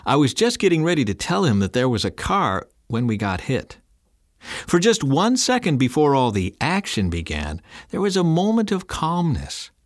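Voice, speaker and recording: A man's voice: a little high, huge pitch swings, moderate pace, dry recording, studio quality, pitched above the performer's own voice. A man voicing a young boy in an audiobook, telling his memory simply and earnestly. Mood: wondering, earnest. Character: young boy earnestly recalling a car crash.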